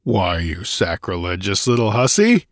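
Man playing a gruff, barking barbarian warrior. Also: very animated, measured pace, dry recording, studio quality, full character voice. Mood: scornful. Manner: barking, rumbling, muttering, impatient. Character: gruff, contemptuous, massive barbarian warrior, male. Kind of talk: dramatised audiobook character voice.

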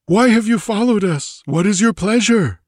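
A man reading an audiobook, voicing a frightened male high priest who stammers and pleads. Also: lively intonation, moderate pace, no echo, studio quality. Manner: pleading, panicked. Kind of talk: audiobook character voice.

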